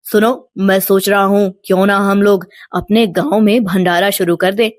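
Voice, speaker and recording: Woman doing a kind, low-pitched village father. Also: flat delivery, normal speed, dry recording, studio quality, lightly acted. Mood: earnest, kind. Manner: gentle, humble.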